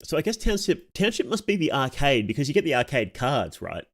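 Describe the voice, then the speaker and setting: A man's voice: mid-range voice, big pitch swings, a little fast, a bit bright. A man streaming casually, reading on-screen text aloud and musing on it as he goes. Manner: reads text aloud, muses and reacts aloud. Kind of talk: casual livestream reading and commentary.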